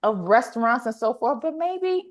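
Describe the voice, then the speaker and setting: A woman hosting a podcast, chatting casually and motivationally in an animated way, repeating phrases for emphasis and acting out little quotes. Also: on the high side, moderate pace. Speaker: podcast host.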